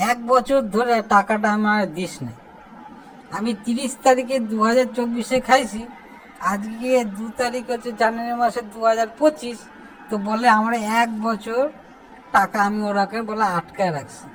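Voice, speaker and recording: A woman's voice: fairly high pitch, fairly even intonation, normal speed, slight background noise, natural voice, low-quality recording. A woman playing a cheeky, put-upon young lad in an amateur comic cartoon, indignant and complaining in an eastern Bengali accent. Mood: grumbling.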